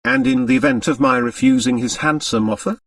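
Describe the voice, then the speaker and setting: A man voicing a sardonic, carefree gentleman in an audiobook novel, with an easy mocking, ironically polite manner. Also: fairly high pitch, sing-song, fairly quick, gravelly, dry recording, far from the mic, pitched above the performer's own voice, full character voice. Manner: ironically polite, light and amused.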